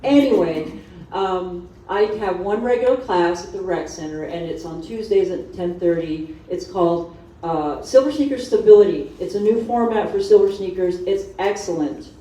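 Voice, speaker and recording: A middle-aged woman from Honduras giving an informal, animated personal talk to an audience as a fitness instructor. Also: mid-range voice, moderate pace. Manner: animated, conversational storytelling with acted-out asides. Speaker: fitness instructor on a seminar panel. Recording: distant mic in echoey room, dull sound.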